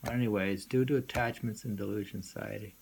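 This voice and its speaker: A man reading poetry aloud with casual commentary, halting and often repeating words and phrases. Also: on the low side, fairly even intonation, creaky, darkish tone. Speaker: reader and host. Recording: noisy homemade recording.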